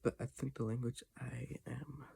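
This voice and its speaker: A teenager talking casually in a personal vlog from their bedroom, halting with restarts and often dropping to a half-whisper. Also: mid-range voice, little pitch movement, gravelly, dark tone, slight background noise. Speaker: vlogger. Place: own bedroom, indoors.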